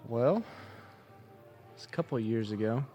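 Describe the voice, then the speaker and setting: American male guitarist, medium pitch, informal podcast guest delivery with some joking. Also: animated, moderate pace, dark tone, husky, faint music behind. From United States, California and Florida. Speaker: band guitarist being interviewed. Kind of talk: casual, joking chat.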